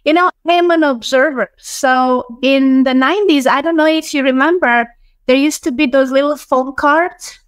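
A woman's voice: high-pitched, moderate pace, dry recording, poor audio. A middle-aged woman, telling her own story casually as an interview guest on a video call. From Hungary, born in Romania. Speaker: interview guest, bookkeeping business owner. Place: small room, indoors.

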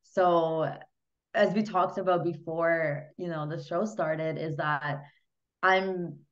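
A young woman from Hungary talks casually as a podcast guest, her speech full of "like" and "you know". Her voice sits fairly low for a woman. The sound is dull and lo-fi, picked up by a laptop mic on a video call. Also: steady tone, slow. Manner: chatty, many fillers like "you know" and "like". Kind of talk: casual interview chat. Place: small home room. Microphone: laptop webcam mic on a video call.

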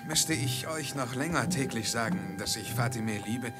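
An actor playing a dutiful, level-headed servant, measured and deferential with a dry touch, in a 1950s radio play. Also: male voice, medium pitch, lightly acted. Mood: sober, dry. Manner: measured, deferential, poetic phrasing. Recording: 1950s mono radio recording with music.

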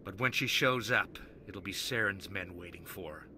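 A man's voice: low-pitched, moderate pace, sharp tone, slight background noise. A man playing a cowardly crime boss in a video game, pleading and defensive in short urgent bursts. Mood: wary, explaining. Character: cowardly crime boss begging for his life.

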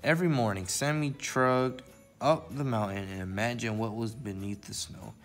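A man's voice: mid-range voice, moderate pace, soft background music. A man hosting a library story time, presenting to children in the manner of a read-aloud and simple how-to.

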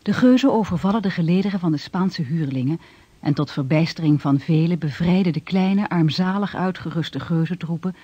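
A man's voice: fairly high pitch, fairly quick, mellow, slightly rough, no room sound. A man narrating a historical radio play in a solemn, declamatory manner. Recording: dull vintage radio recording with music.

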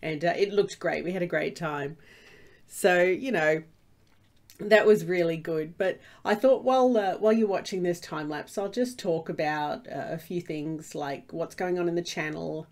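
A woman's voice: fairly low pitch, moderate pace, a little mellow. A woman with a stuffy cold, rambling through casual commentary over her Minecraft gameplay with lots of fillers and restarts. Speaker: Minecraft let's play host.

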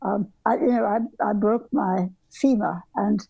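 A woman's voice: medium pitch, a little slow, gravelly, dark tone, slightly rough, dry recording, lo-fi sound. An older woman from southern Africa, a guest architect, talking in a meandering, reflective way over a video call from her home workroom, with frequent restarts. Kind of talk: reflective conversational storytelling. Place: home bedroom workroom, indoors.

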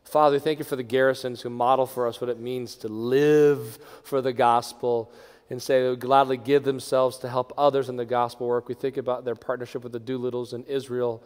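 A middle-aged man, a pastor leading a congregation in prayer from a lectern, moving between hushed, near-whispered phrases and strong, raised proclamation. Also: medium pitch, little pitch movement, fairly quick. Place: large church sanctuary. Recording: long church reverb.

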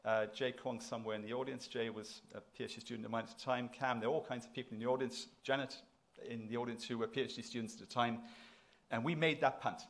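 A man's voice: medium pitch, steady tone, fast, a bit bright. A middle-aged man giving a public address from a podium, conversational but emphatic, repeating phrases for effect. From South Africa. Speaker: institution president giving inaugural address. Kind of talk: public lecture to an audience. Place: lecture hall, at a podium.

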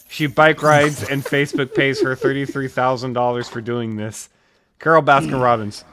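A young man reading comedy scripts aloud casually and deadpan, sometimes close to giggling. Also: medium pitch. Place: small dim room, indoors. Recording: home microphone with background noise, clicks.

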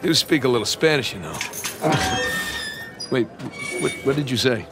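A man's voice: mid-range voice, expressive, moderate pace, heavy background noise, close to an everyday voice. An actor playing an unflappable, wisecracking American adventurer in his thirties in a video game, with a casual, sarcastic manner. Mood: wry, wary. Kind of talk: video game cutscene acting.

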